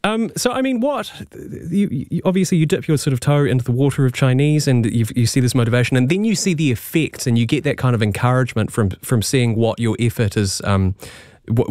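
A man's voice: medium pitch, a little mellow, dry recording, clean studio sound. A man hosting a radio interview, friendly with stumbling restarts. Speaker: radio host.

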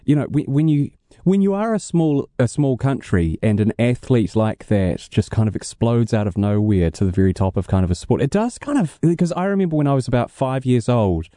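A man's voice: mid-range voice, animated, brisk, hardly any pauses, mellow, dry recording, clean studio sound. A man in his thirties with a New Zealand accent, hosting a radio interview in a wry, conversational way with rambling questions. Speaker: radio host.

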